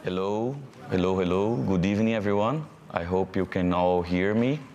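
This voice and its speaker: A man from Brazil with a Brazilian Portuguese accent moderating a live panel, friendly and halting, with fillers. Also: low voice, a little mellow, dull audio, a little hiss or hum. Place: event hall. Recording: hall reverb from a live event.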